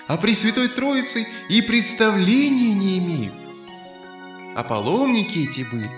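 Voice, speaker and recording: A man narrating children's fairy tales as a storyteller, in an expressive scripted style. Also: sits high, reverberant.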